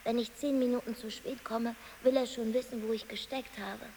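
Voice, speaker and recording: A tense young woman, played by an actress, speaks in a slightly high voice as a devoted lover in a radio play. The sound is reverberant, with some hiss behind her. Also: steady tone, normal speed, dark tone, natural voice. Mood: confiding. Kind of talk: naturalistic radio drama dialogue. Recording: reverberant hall, clear hiss.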